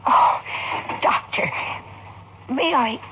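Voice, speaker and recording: A woman playing an old, mystical fortune teller with a halting, dramatic delivery in 1960s radio drama style. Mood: mystical, intent. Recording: muffled old radio recording, background noise.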